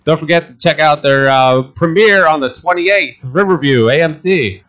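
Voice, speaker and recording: A man's voice: fairly high pitch, moderate pace, fairly bright tone. A man from Florida hosting a casual podcast, laughing often and swearing freely. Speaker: podcast host.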